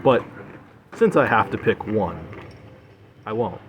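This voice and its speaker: A man giving deadpan comedic banter as a podcast co-host. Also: on the low side, takes it slowly. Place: local restaurant. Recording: clearly noisy restaurant background.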